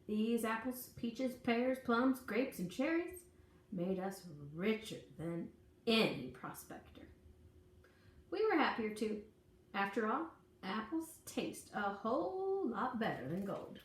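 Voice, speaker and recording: A woman reading a children's picture book aloud in an expressive, folksy, dramatic storytelling manner. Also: on the high side, takes it slowly. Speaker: storybook reader. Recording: echoey room, distant mic, faint noise.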